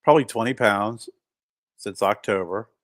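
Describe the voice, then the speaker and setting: A man's voice: on the low side, normal speed, no room sound, clean studio sound. A man in his fifties co-hosting a podcast, talking in a reflective, rambling way with many restarts. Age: 50s. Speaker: podcast co-host. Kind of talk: casual chat.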